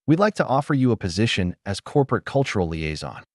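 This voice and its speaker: A calculating corporate boss speaks with forced cheer and a polite edge of threat, as a low-voiced male narrator reads him. The voice is close and dry. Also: very animated, quick pace, no room sound. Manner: falsely jovial, politely threatening.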